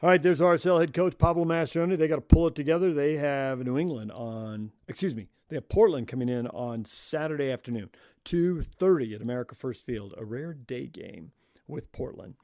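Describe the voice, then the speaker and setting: A man's voice: mid-range voice, fairly quick, darkish tone, no echo, clean studio sound. A man hosting sports talk radio, wrapping up a segment in short punchy phrases.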